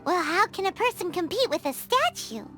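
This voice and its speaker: A woman's voice: very high-pitched, big pitch swings, moderate pace, pushed and bright, faint music behind, heavily acted. A woman voicing a tiny, chirpy, childlike fairy companion with a curious, cheeky manner in a video game. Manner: refers to self in third person, eager, exclamatory. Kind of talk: English video game voice acting.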